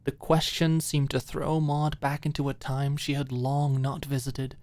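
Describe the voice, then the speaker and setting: A woman's voice: a bass voice, level tone, a little slow, darkish tone, no room sound. A woman reading scripted story narration as the narrator of an ASMR audiobook.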